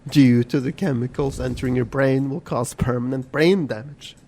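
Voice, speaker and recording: A man's voice: medium pitch, steady tone, moderate pace, vocal fry, dark tone, slight background noise. A man co-hosting a casual podcast chat, telling a rambling story full of "like" and swearing. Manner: rambling, swears often, heavy use of "like". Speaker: podcast co-host.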